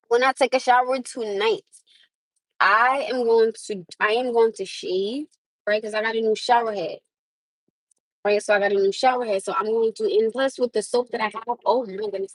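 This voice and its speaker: Woman from the US, casual livestream chat, higher pitch, dry close sound, inside a car, phone mic. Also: dry recording, low-quality recording. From United States. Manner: chatty, laughs often, addresses viewers warmly as "my love". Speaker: livestream host. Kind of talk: casual live chat with viewers. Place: in a parked car.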